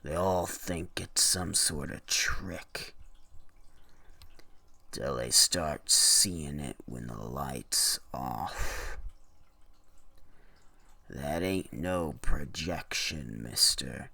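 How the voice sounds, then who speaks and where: A man's voice: extremely low pitch, very slow, vocal fry, pauses often, pushed and bright, no echo, pitched below the performer's own voice, full character voice. A man voicing a weary, grim antique shopkeeper in a horror story, with a folksy, ominous way of talking.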